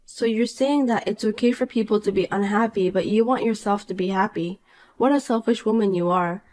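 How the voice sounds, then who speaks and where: A woman voicing a calm, cool married young woman in a dramatized story, dry and patient. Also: mid-range voice, a little fast, natural voice.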